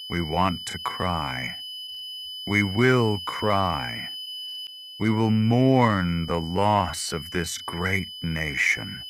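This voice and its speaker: A man solemnly reading a scripted mock emergency alert announcement in a grave, formal way. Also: low voice. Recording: clear hum and noise behind voice.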